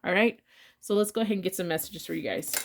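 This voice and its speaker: A woman's voice: fairly low pitch, quick pace, gravelly, slight background noise. A congested woman giving a casual tarot reading, talking bluntly with rambling asides and swearing freely. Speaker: tarot reader.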